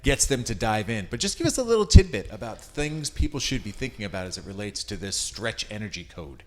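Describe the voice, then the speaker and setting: A man's voice: on the low side, very animated, brisk. A middle-aged American man hosting a conversational podcast interview in a chatty, informal, enthusiastic manner. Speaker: podcast host.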